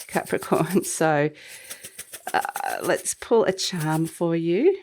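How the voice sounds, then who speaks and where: A woman with a slightly croaky voice from a cold, giving a weekly tarot reading to camera in a meandering, reflective way. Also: on the low side, slow, darkish tone. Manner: meandering, reflective, intuitive musing. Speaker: tarot reader.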